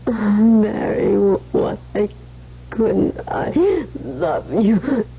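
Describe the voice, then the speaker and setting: An actress playing a despairing, dying woman in a radio drama, speaking in halting, sobbing phrases full of self-blame. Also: medium pitch, sing-song, slightly rough, full character voice. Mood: weak, tearful. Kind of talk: 1970s radio drama acting. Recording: muffled vintage radio recording with faint music.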